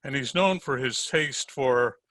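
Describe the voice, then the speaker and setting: An actor playing a wry, hard-boiled heavyset private detective, narrating in 1940s radio style. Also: male voice, mid-range voice, measured pace, fairly bright tone, no room sound, close to an everyday voice. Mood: wry, ominous. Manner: wry, wisecracking.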